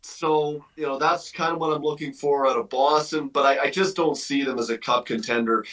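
A man's voice: medium pitch, steady tone, normal speed, a bit bright, distant mic, poor audio. A man giving opinionated hockey analysis as a guest on a sports radio interview, conversational and emphatic, thinking aloud as he goes.

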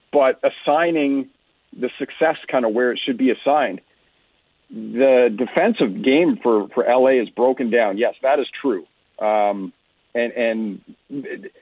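A man's voice: medium pitch, slightly rough, no room sound. A man giving conversational, explanatory sports analysis as an interview guest, often stressing his points. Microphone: remote phone-like connection. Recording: narrow phone-like line, muffled detail.

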